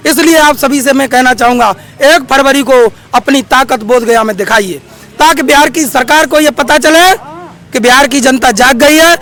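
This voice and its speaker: Very high-pitched male activist monk. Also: a bit bright. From Uttar Pradesh, India. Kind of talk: impassioned street appeal to the public.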